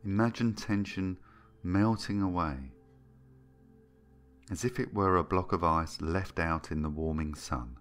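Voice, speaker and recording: A man narrating a guided meditation in a soothing, gentle and unhurried manner, leaving long pauses between phrases. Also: low-pitched, fairly even intonation. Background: background music.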